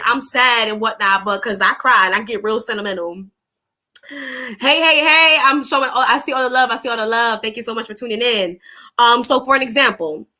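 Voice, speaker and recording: A woman's voice: mid-range voice, bright, forceful tone. A woman with a New York accent hosting a casual live chat, hyping up listeners and repeating her phrases. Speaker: host of a live show.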